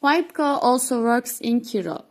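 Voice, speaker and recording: A woman whose first language is Turkish, presenting a scripted walkthrough of software she built. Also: fairly high pitch, expressive, a little slow. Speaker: developer demoing her software. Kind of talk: scripted screen-recording walkthrough.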